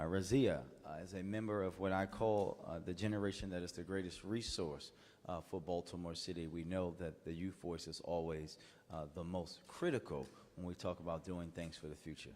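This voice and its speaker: A low-voiced man emceeing a public ceremony introduces the next speaker, filling his sentences with "uh". His words carry a long hall echo. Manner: loose, filler-heavy introductions.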